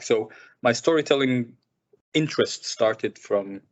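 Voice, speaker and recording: A filmmaker who grew up in Sudan, speaking thoughtfully at a seminar with many fillers and repeated words. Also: male voice, fairly low pitch. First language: Arabic. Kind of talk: reflective personal talk. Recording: muffled lo-fi recording.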